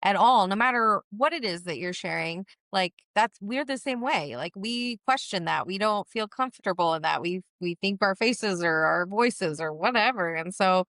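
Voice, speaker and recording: A woman hosting a podcast, speaking in an earnest, reflective way with a gravelly voice. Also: medium pitch, animated, normal speed, few pauses, fairly bright tone, no echo. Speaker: podcast host. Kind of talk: casual chat.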